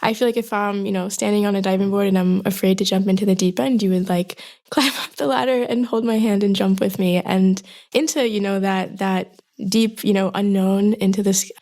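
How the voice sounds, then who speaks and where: A woman's voice: medium pitch, fairly even intonation, a little fast. Effusive young woman co-host. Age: young adult. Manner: warm and effusive, with frequent fillers like "you know" and "like". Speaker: podcast co-host. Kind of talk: casual interview conversation.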